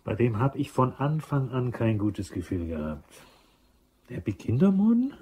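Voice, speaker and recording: An actor playing a brisk, businesslike and authoritative boss in a German crime radio play. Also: male voice, mid-range voice, huge pitch swings, mellow, a little hiss or hum.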